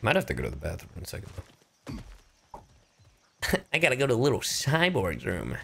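A man's voice: lowish voice, a little fast, gravelly, a little hiss or hum. A man doing live gameplay commentary as a streamer, playful and freely swearing. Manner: animated, playful, profane. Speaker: VTuber game streamer.

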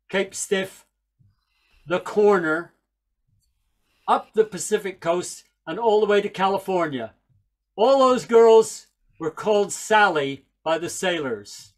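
High-voiced older man spinning yarns. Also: unhurried. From Northern Ireland, raised in England. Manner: chatty, wry storyteller with asides. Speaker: folk singer and speaker. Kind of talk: storytelling talk on a video call. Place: small room at home. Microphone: headset mic on a video call.

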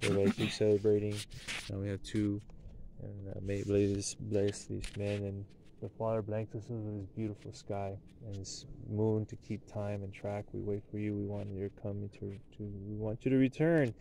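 Man leading prayers outdoors at night, mellow tone, rises into loud chanted blessings, handheld camera close by. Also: fairly low pitch, flat delivery, normal speed, vocal fry, slight background noise, poor audio. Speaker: leader of a Sabbath blessing. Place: outdoors at night at a picnic table.

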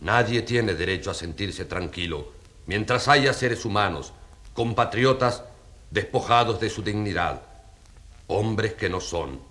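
A man's voice: lowish voice, lively intonation, a little slow, a bit bright. An actor playing a solemn, authoritative male judge in a radio drama, speaking formally and declamatorily. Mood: grave, impassioned. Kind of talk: radio drama courtroom speech.

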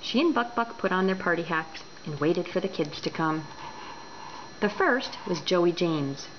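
Expressive woman reading a storybook. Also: lowish voice. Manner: expressive, deliberate. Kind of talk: children's book read aloud. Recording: dull home recording with background noise.

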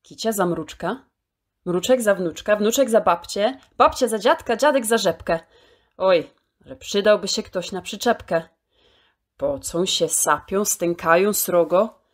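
A woman storyteller reciting a children's poem in an expressive, theatrical way, drawing out key words. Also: medium pitch, a little slow.

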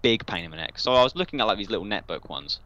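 Fast-talking, bright-voiced young southern English man. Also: lowish voice, lively intonation, highs cut off. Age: young adult. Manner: digressive, chatty. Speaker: guest on a gaming video. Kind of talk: casual rambling storytelling over gameplay.

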